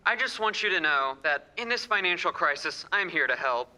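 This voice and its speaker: Scheming banker for radio drama, acted by a man: smug, very sharp delivery, reverberant stage. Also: fairly high pitch, animated, fairly quick. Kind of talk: filmed radio play acting.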